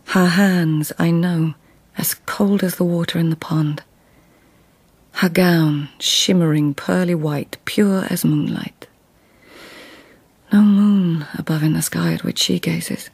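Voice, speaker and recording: A proud, devoted lady of the old Japanese court in a radio play. Her voice is breathy and soft-edged. She chooses words with formal, lyrical precision. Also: on the low side, steady tone, slow, no echo, exaggerated voice. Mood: hushed, adoring. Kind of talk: radio drama acting, poetic narration.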